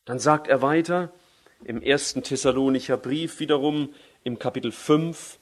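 Man, German preacher, sermon, emphatic, slows for quotes, mid-pitched voice. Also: fairly bright tone. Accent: German, with a native dialect. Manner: emphatic, rises in pitch on key phrases and slows for scripture.

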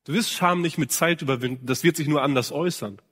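A man's voice: a little high, quick pace, a bit bright, slightly rough. Man preaching in a reverberant hall. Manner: earnest direct address with rhetorical questions. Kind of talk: sermon to men.

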